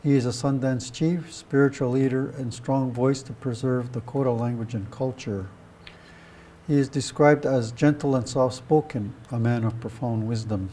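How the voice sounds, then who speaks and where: A man presents a guest by reading from a prepared script. His voice is mellow and relaxed, sitting at a middling pitch for a man. The delivery is that of a formal spoken introduction. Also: moderate pace, slight background noise. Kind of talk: scripted biographical introduction, read aloud.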